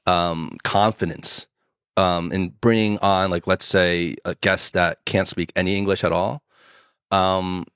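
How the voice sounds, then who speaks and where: A man co-hosting a podcast, talking in a measured, hesitant way with pauses, weighing both sides of a question. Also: low-pitched, flat delivery, fairly bright tone, clean tone, dry recording, studio quality. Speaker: podcast co-host. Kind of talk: casual bilingual chat.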